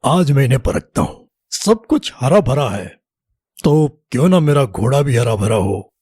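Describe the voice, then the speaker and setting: A commanding, sly king from a children's cartoon fable, acted by a man. His voice is mellow and husky with a very lively swing, recorded dry and close. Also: mid-range voice, measured pace, dry recording. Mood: scheming, musing. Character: male king, approving yet slyly testing his minister. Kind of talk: animated children's moral story dialogue.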